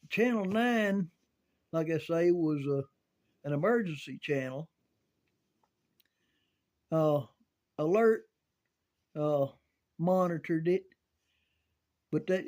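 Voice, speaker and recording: An older American man with a noticeably high voice for a man. He talks very slowly and haltingly, doubling back on his words. The delivery is casual, folksy reminiscence. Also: darkish tone. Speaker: storyteller sharing recollections of CB radio and volunteer rescue.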